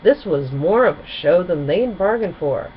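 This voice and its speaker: Female storybook narrator, expressive, varied intonation, slightly dull recording with faint noise. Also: on the low side, normal speed.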